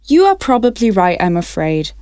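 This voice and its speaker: A woman from Wales hosting a radio phone-in, speaking in a measured, polite and clearly enunciated way. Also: mid-range voice, very animated, dark tone, no echo, studio quality. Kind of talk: scripted radio phone-in presenting.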